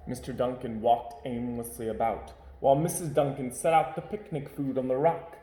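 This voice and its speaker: A man reads a children's picture book aloud at home, shaping each line expressively. His voice is mellow and dark-toned, and the room adds a noticeable echo. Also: mid-range voice, normal speed. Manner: expressive, varied reading. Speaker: storyteller. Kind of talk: children's storybook read-aloud.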